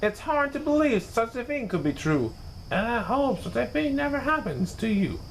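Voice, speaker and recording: A man reading a rhyming children's story aloud in a theatrical, animated way, as a storyteller. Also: extremely high pitch, normal speed, slight background noise, poor audio. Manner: theatrical, acts out characters.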